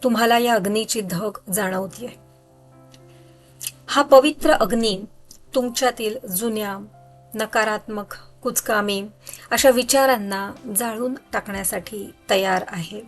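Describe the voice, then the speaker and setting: A woman narrates a guided meditation. Her voice sits at a middle pitch, and she gives soothing instructions with unhurried pauses. Music plays behind her. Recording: background music under voice.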